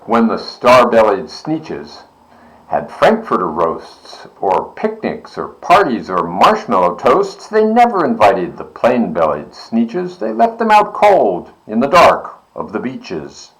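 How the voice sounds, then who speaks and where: A man's voice: fairly low pitch, huge pitch swings, slow, a bit bright, low-quality recording. A man reading a children's storybook aloud expressively, with dramatic, rhythmic verse delivery. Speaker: library board member reading aloud.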